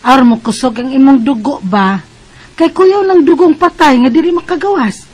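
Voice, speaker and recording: A woman's voice: sits high, moderate pace, a little mellow, close to an everyday voice. A woman playing an insistent, scolding mother of a teenage girl in a Bisaya radio drama, with a Cebuano Filipino accent. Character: insistent mother of a teenage daughter, pushing bitter remedy.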